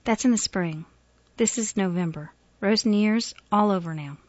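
A woman voicing a big, grieving elderly godmother with a rural Southern US accent, pleading emphatically in drawn-out words. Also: medium pitch, a little mellow, no echo, highs cut off, lightly acted. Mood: coaxing.

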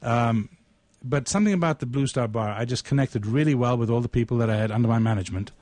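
A man speaks as a radio host and poet in a very dry recording with little room sound. His pitch sits in the middle, and his delivery is that of a storyteller sharing spoken word. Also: fast, a little mellow, no room sound. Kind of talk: conversational storytelling and poetry recitation.

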